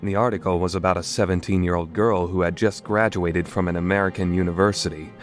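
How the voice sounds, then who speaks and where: A man's voice: low voice, steady tone, quick pace, faint music behind, lightly acted. A man playing a paranoid, theatrical young self-styled mad scientist in an anime dub, with pompous, dramatic delivery. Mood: tense narration. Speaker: amateur voice actor. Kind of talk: dramatic fandub performance with first-person narration.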